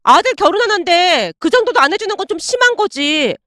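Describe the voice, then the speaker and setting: Woman acting out a whiny, high-pitched entitled young girlfriend. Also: very animated, fairly quick, pushed and bright, no room sound, clean studio sound, exaggerated voice. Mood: whiny insistence. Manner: whining, demanding, accusatory.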